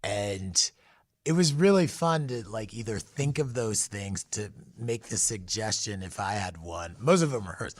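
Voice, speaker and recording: A man's voice: on the low side, expressive, a little slow, no echo, studio quality. A man speaking as a guest author in an interview, telling casual stories and acting out bits of what was said. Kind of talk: casual conversational storytelling.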